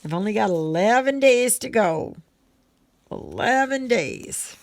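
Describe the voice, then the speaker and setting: A woman chatting casually as she does her crafts, rambling in a halting, unhurried way and thinking aloud. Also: on the high side, animated, dry recording. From Canada. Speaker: craft vlogger. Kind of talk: casual rambling chat while stitching.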